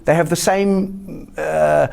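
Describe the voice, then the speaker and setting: A middle-aged South African man, a comedian and interview guest, telling animated anecdotes with sudden jumps in pitch. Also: takes it slowly, gravelly. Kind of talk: casual interview storytelling.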